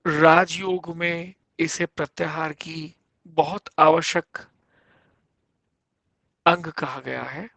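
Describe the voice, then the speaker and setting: Slow-paced male yoga nidra guide. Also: a little high, steady tone, creaky, a bit bright, dry recording. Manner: measured, instructive, pausing between short phrases. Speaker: yoga instructor.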